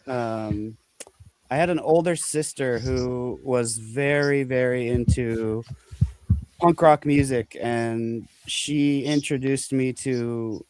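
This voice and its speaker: Band guest from Montana, man, slow, hesitant with ums. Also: mid-range voice. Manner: unhurried, many ums. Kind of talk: telling his story in an interview.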